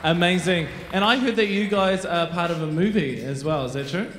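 Upbeat, high-voiced New MC man. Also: fairly quick, fairly bright tone. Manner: enthusiastic, effusive praise. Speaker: event MC. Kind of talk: upbeat stage MC interview. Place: large auditorium stage. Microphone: handheld mic. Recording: echoey hall, faint crowd.

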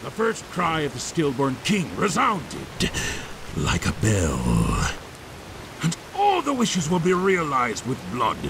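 A man voicing an eerie, scornful otherworldly being in a fantasy game, making drawn-out, ominous pronouncements. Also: on the high side, wildly swooping pitch, creaky, exaggerated voice. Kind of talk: theatrical fantasy game villain. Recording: hissy, with rain noise.

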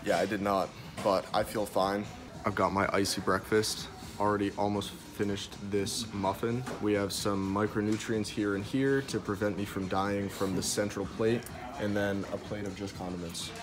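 Young man vlogging, excited and sweary. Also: fairly low pitch, normal speed, over music. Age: young adult. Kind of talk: casual vlog talk to camera. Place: hotel room.

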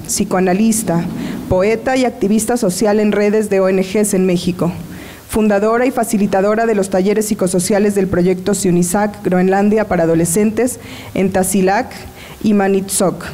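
A young woman with a warm, mellow voice reads a formal introduction, moving steadily through a long list of titles. She reads from a sheet of paper outdoors beside a garden. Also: medium pitch. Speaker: presenter.

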